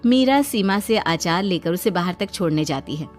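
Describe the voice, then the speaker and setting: A woman's voice: fairly low pitch, expressive, normal speed, soft background music. A woman reading scripted narration for a cartoon moral story as the storyteller.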